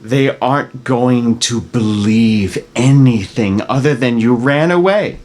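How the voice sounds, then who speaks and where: A man's voice: mid-range voice, takes it slowly, darkish tone, natural voice. A man playing a remorseful, grieving father burdened by guilt in a screenplay table read. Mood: remorseful, pleading.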